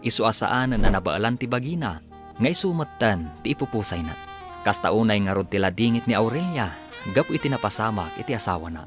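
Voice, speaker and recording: A man's voice: fairly low pitch, sing-song, a little fast, fairly bright tone. A man with an Ilocano accent announcing and narrating a scripted radio drama in a dramatic way. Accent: Ilocano, northern Philippines. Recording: music under the voice. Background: music.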